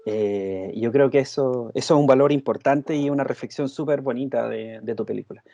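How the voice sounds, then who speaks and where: A man moderates a panel discussion in a voice of middling pitch. He speaks hesitantly and leans on fillers. He trails off softly before passing the floor on. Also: brisk, creaky, dull audio.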